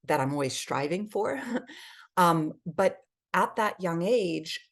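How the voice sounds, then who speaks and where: A woman's voice: lowish voice, expressive, slow pace. A woman from Switzerland and England talking effusively and emphatically as a guest in a conversational interview. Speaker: podcast guest, health advocate.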